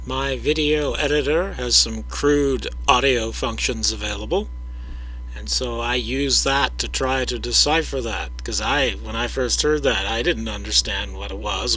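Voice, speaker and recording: A man narrates his own video with a husky edge to his voice. His tone is bright and pushed forward. He explains at a careful, measured pace, hesitates often, and speaks directly to the viewer. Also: mid-range voice, poor audio. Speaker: video creator explaining audio analysis.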